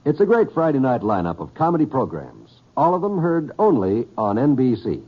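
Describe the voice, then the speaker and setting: A man's voice: medium pitch, very animated, fairly quick. A man announcing in dramatic 1950s network radio style. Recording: muffled old radio broadcast over music.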